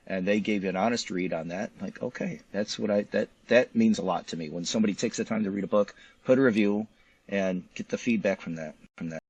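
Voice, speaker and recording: A man speaking as an interview guest in casual conversation, explaining details in an animated, colloquial way. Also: on the low side, a little fast, breathy edge, no echo, lo-fi sound. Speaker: guest, physician and novelist.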